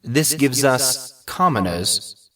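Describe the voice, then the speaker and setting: A man's voice: medium pitch, animated, takes it slowly, pushed and bright, distant mic. A man voicing a playful, formal master of ceremonies at a school in an audiobook. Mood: playful, grand.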